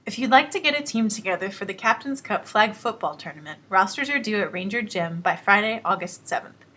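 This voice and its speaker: A woman reading scripted announcements about base events in an even promo style. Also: mid-range voice, a little fast.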